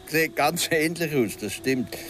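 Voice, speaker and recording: Radio play: guarded local man, terse, Swiss German, fairly high pitch, light background noise. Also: expressive, slow pace, a bit bright. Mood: reserved, explaining. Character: wary, guarded local man, irritable when pressed. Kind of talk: radio drama dialogue.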